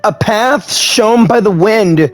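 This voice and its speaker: A man's voice: high-pitched, slow, faint music behind. A man voicing an eager, friendly young adventurer in a fantasy game. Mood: curious.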